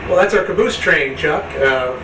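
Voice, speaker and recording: American male guest explaining his work in conversation, slightly high voice, off-mic in a reverberant noisy setting. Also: lively intonation, normal speed. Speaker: interview guest, railway operations superintendent. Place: back platform of old passenger railcar. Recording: echoey distant pickup, noisy railyard behind. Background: loud passing train, railyard noise.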